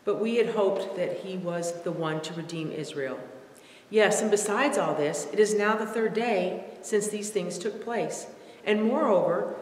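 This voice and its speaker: A woman's voice: medium pitch, darkish tone. A middle-aged or older woman reading scripture aloud carefully and expressively from a page in a large reverberant sanctuary. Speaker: scripture reader. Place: large empty church sanctuary, indoors. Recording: echoey church, long reverb, faint noise.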